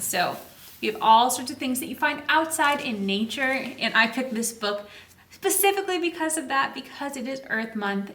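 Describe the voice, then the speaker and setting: A woman's voice: on the high side, pushed and bright. A woman leading a children's story time, reading a picture book aloud and explaining it simply, asking questions and answering them herself. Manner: simple teacherly phrasing, asks and answers own questions. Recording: echoey room, distant microphone.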